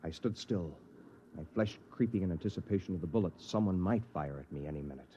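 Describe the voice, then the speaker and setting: A man's voice: deep voice, animated, fast talker, dark tone. An actor playing a wary, put-upon Earthman on his own, in first-person narration and dialogue for a 1950s radio drama. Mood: wry, wary. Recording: noisy, dull vintage 1950s radio recording.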